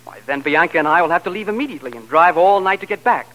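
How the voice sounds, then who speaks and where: An actor playing a flustered, stammering husband caught between two women in a 1940s radio comedy. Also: male voice, fairly high pitch, fast, low-quality recording. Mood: scheming, pleading. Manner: stammering, excitable, pleading.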